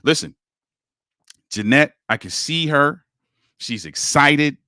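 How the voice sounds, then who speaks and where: A man's voice: fairly high pitch, expressive, takes it slowly, pushed and bright, dry recording, studio quality. A man hosting a livestreamed podcast, upbeat and encouraging, talking with a preacher's lift as he greets commenters and his guest. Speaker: podcast host.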